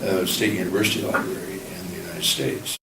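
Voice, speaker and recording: An elderly man with a low, gravelly voice reflects in an interview in a halting way, circling back mid-sentence. He is some way from the microphone in a roomy space, with clear noise behind him. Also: little pitch movement. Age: 70s or older. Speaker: university professor of finance. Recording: roomy, distant mic, clear background noise.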